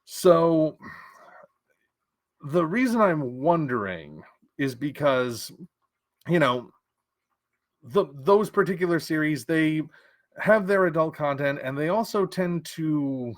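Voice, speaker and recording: A man's voice: a little high, very animated, slow pace, pauses often, dry recording, clean studio sound. Man musing over sci-fi news. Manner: musing, thinks aloud with restarts and fillers. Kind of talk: casual opinion commentary.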